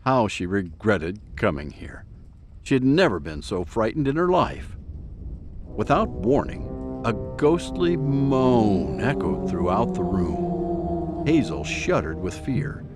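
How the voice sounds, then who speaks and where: A man's voice: on the low side, sing-song, slow. A man narrating a scripted audio drama in a dramatic storytelling manner. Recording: prominent music behind narration.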